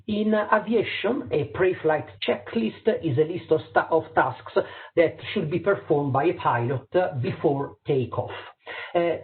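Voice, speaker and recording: A middle-aged Italian man, a surgeon and pilot, giving an online presentation to doctors in accented English, hesitant, with many fillers and restarts. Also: on the high side. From Italy. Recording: tinny phone-like audio.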